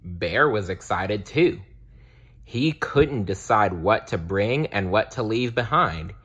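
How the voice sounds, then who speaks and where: A man narrating a children's storybook aloud in a deliberate, expressive read-aloud style. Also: lowish voice, husky. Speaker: storybook reader.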